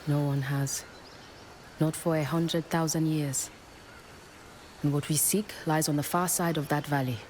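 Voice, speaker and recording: An actress playing a serene, cryptic woman guide in a video game, solemn, measured and resolute. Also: low voice, fairly even intonation, darkish tone, a little hiss or hum. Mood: solemn awe. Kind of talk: video game cutscene acting.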